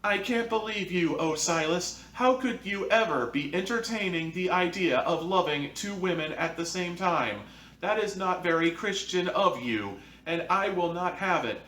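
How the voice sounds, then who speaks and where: A man's voice: high-pitched, lively intonation, normal speed, a bit bright, voice pushed up. A man voicing an indignant, pious wife who scolds stiffly as if reading off a card. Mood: stiff, scolding. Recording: echoey room, distant from mic.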